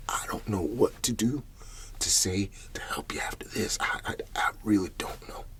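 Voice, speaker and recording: A man playing a shaken, humble country farmer in an ASMR roleplay, speaking in a halting, breathy whisper and repeating his words. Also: low voice, pushed and bright, heavily acted. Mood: pleading.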